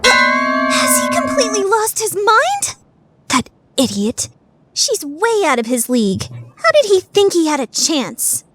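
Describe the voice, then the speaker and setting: Indignant anime schoolgirl, very high, wildly swooping, hot-tempered. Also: wildly swooping pitch, slow, a bit bright, no echo, full character voice. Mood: scornful disbelief. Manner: indignant, exclamatory. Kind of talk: fan-dubbed anime radio play acting.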